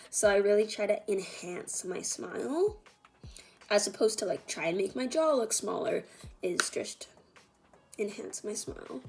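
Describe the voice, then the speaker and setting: A woman's voice: mid-range voice, a little mellow. A woman chatting casually through a makeup tutorial in an informal, meandering, self-deprecating way. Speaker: beauty vlogger.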